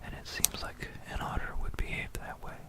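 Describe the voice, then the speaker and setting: An ASMR narrator from Florida with an American accent whispers a musing, explanatory history talk close to a stereo microphone. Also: moderate pace. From Florida, United States. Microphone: close stereo binaural microphone.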